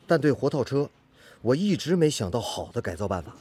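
Earnest engineer, lively swooping voice. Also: male voice, mid-range voice, fairly quick, breathy edge. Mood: earnest, determined. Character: earnest, dedicated male factory engineer, devoted to his work. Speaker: radio drama actor. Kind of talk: Chinese radio drama acting.

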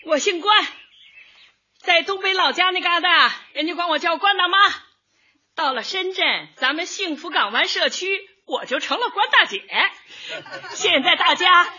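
An actress playing a hearty, earthy middle-aged community auntie with a Northeastern Chinese accent in a comic radio drama. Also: female voice, very high-pitched, animated, normal speed, bright, forceful tone, dull audio, full character voice. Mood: excited, flustered. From Northeast China.

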